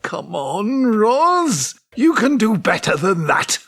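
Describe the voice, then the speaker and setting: A man's voice: sits high, big pitch swings, slow pace, fairly bright tone, full character voice. An actor in an audio drama playing a cultured, romantic male physics teacher, earnest, erudite and self-deprecating. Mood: plaintive, fond. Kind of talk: audio drama dialogue and narration.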